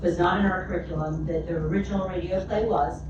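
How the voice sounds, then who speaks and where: A woman's voice: on the low side, steady tone, fast, dull audio. A woman teacher chatting enthusiastically about her students' project. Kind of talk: informal remarks at a meeting. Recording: very echoey room, far mic, noisy.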